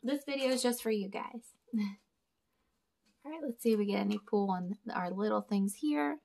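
Young woman vlogger, gravelly fry, chatty, thinking aloud to viewers, mid pitch, indoor tabletop camera. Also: normal speed, a little mellow. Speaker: storage unit reseller and vlogger. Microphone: camera over a table, hands only in frame.

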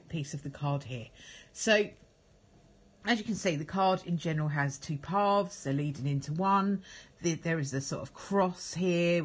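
A low, husky woman with a bit of a cold talks in a relaxed, chatty way as she reads tarot cards at home. She circles back over her words and fills pauses with soft ums. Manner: chatty, repeats words and fillers, gentle guiding tone. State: croaky with a cold. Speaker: tarot reader. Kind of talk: casual daily card reading. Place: home table indoors.

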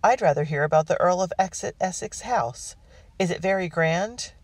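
A woman's voice: lowish voice, moderate pace, no room sound, far from the mic, pitched above the performer's own voice, close to an everyday voice. A woman voicing an impatient, dismissive colonial girl in an audiobook. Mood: chiding. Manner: dismissive, chiding.

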